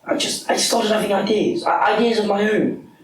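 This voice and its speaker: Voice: medium pitch, fairly even intonation, normal speed, natural voice. An actor as a sardonic, defensive spouse, very echoey. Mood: defensive, hesitant. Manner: theatrical, stammering when challenged, bursts into shouting. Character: sardonic, cowed academic spouse, defensive and exasperated. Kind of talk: stage drama acting. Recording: very echoey room, distant mic, noise.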